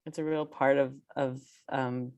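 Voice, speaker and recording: A woman theater director talking casually on a panel, halting and repeating words as she thinks. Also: rumbling low, steady tone, darkish tone, smooth, muffled recording.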